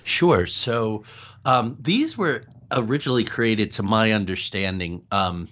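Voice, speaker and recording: A man's voice: on the low side, animated, a little slow, a bit bright. A man explaining things conversationally as an interview guest and paranormal investigator, recorded in lo-fi sound.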